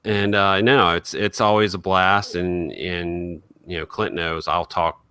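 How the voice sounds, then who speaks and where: A man co-hosting a casual podcast. His voice is deep yet bright and crisp, and he talks keenly in a halting way. The recording is dry. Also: flat delivery, no room sound. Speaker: podcast co-host.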